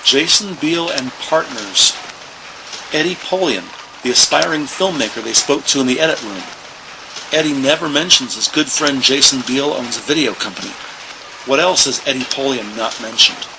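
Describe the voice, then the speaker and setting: An actor narrating a police procedural audio drama as a measured, factual male storyteller. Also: medium pitch, noisy background, lightly acted.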